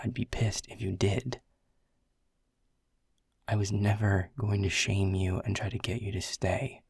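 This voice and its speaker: A husky, gravel-edged baritone from a man playing a jaded middle manager who talks straight at the listener. He is blunt and sarcastic and swears freely, with a gruff, prickly manner. The sound is dry and close. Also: darkish tone, dry recording, heavily acted. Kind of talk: second-person audio roleplay.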